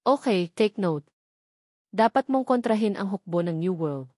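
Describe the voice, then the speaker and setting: A woman's voice: medium pitch, animated, a little slow, warm tone, no room sound. Female narrator as young male sect leader in an audiobook, solemn, terse, commanding, dry sound.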